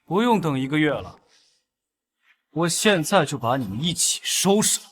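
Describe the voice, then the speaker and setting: A man's voice: fairly high pitch, big pitch swings, normal speed, a bit bright, a little hiss or hum. A man acting a confident young hero, a secret war lord in a melodrama, with a self-assured delivery. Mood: calm defiance. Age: young adult. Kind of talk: melodramatic short-drama acting.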